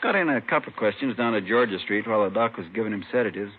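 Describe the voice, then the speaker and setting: A man's voice: lowish voice, expressive, racing, lightly acted. A man as clipped matter-of-fact police detective, tinny recording. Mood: businesslike. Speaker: supporting actor. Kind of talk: 1950s radio crime drama acting. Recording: tinny old radio recording, faint noise.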